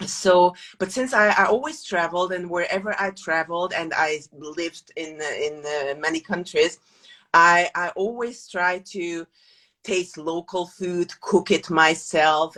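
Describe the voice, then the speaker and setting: A woman's voice: fairly low pitch, fairly bright tone. A woman from Hungary, a guest in a casual interview, talking with many hesitant fillers and restarts. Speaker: guest, food tour company founder.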